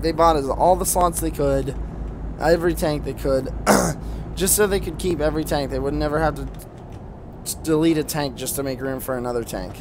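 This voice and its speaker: A man's voice: mid-range voice, noisy background. A man giving casual gameplay commentary as a video host, rambling and sweary with an enthusiastic manner and a slight speech impediment. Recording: music playing behind the voice.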